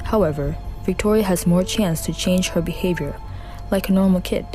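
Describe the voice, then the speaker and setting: A woman's voice: on the low side, moderate pace, a little mellow, soft background music. A woman narrating a student radio drama in a careful, plain reading with slight grammar slips.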